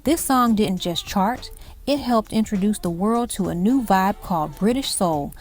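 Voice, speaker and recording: A woman's voice: medium pitch, expressive, a little slow, a little mellow. A woman reading scripted music countdown narration, telling it like an evocative story in short dramatic phrases.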